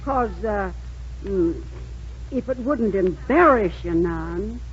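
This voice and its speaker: An American actress playing a folksy, coy and devoted sweetheart in a radio comedy, with plain country speech. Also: female voice, mid-range voice, expressive, slow pace, a little hiss or hum. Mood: earnest. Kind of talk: 1940s radio comedy acting. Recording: muffled old radio broadcast recording.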